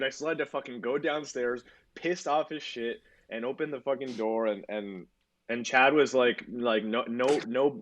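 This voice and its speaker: A man in his twenties co-hosting a podcast, telling a long story in casual chat with lots of swearing and filler words. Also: medium pitch. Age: 20s. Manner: rambling, swears a lot, many fillers. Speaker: podcast co-host.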